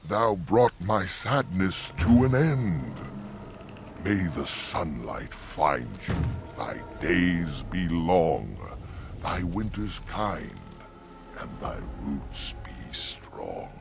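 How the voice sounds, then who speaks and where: A man voicing an ancient, gentle talking oak tree in a fantasy game, speaking in solemn archaic rhyme. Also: low voice, animated, very slow, gravelly, exaggerated voice. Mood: solemn, kindly. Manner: solemn archaic rhyming speech. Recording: music behind the voice.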